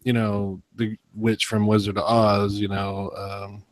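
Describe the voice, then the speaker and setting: A man's voice: lowish voice, flat delivery, measured pace, no echo. A man on a podcast panel, talking casually and explaining his ideas. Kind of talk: animated casual chat.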